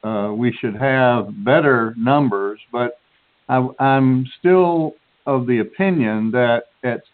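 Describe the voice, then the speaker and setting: Man, mayor, medium pitch, measured and halting, deliberative, tinny phone audio. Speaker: mayor on a committee. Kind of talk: deliberative meeting talk. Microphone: telephone. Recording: tinny conference phone line.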